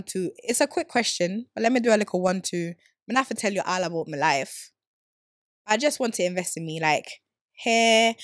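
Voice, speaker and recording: A young woman from Sweden talks through her podcast questions in a loose, joking, slangy way, and her voice sits at an ordinary middle pitch. The sound is dry and close, with no room around it. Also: dry recording. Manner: animated, playful, slangy, quick to laugh. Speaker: podcast host. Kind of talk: casual solo podcast chat.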